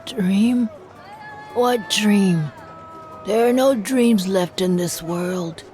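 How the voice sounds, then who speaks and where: A woman's voice: mid-range voice, darkish tone, natural voice. A woman playing a weary, grumbling female stall owner in a fantasy game, bleakly matter-of-fact and grave. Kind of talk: scripted game character acting.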